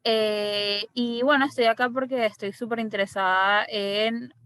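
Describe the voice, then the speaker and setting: A Venezuelan woman journalist introducing herself over a video call, eager and slightly halting. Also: fairly high pitch, steady tone, fairly bright tone. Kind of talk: self-introduction.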